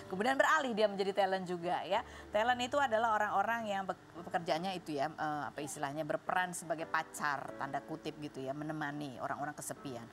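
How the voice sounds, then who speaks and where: A woman hosting a casual talk-show interview in a TV studio, chatty and quick with lots of listening sounds. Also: lowish voice, a bit bright, a little hiss or hum.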